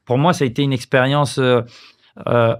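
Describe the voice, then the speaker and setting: A reflective man from France in his thirties, looking back on his playing days in a relaxed podcast chat. He talks in an easy, mid-pitched voice, often pausing to search for words and restarting his sentences. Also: clean tone. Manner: reflective, frequent hesitations and restarts. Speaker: podcast guest, former pro footballer. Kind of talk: casual conversational interview.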